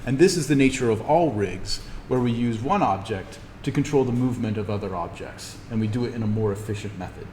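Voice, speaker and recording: A man teaching an introductory animation class, talking informally through software steps and thinking aloud as he demonstrates. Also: medium pitch, brisk, few pauses. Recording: steady noise behind the voice.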